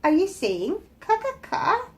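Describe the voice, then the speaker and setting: A woman voicing a wicked, gloating old witch in a storybook tale, mocking and menacing, with coaxing drawn-out words. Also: very high voice, huge pitch swings, pitched above the performer's own voice, exaggerated voice.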